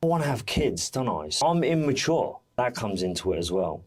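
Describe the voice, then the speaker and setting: A man's voice: medium pitch, very animated, brisk, slight background noise. A man speaking casually as a reality TV interview guest, candid and colloquial, retelling conversations as he goes. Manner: candid, colloquial storytelling with quoted dialogue.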